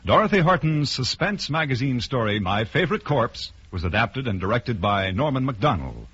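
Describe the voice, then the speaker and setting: Vintage network announcer: American male, medium pitch, animated inflection, formal polish, muffled tape with light music. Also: normal speed, breathy edge. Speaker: radio announcer. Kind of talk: 1950s radio announcing, scripted. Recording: muffled vintage radio recording, faint music.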